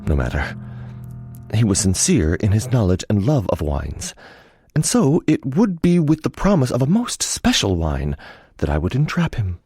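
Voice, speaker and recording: An actor playing a cunning, vengeful nobleman in a radio play, theatrical and measured, with falsely deferential politeness. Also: fairly low pitch, wildly swooping pitch, a little mellow, faint music behind. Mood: brooding, menacing. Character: cunning vengeful nobleman, outwardly fawning, secretly scheming. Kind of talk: dramatic radio play narration and dialogue.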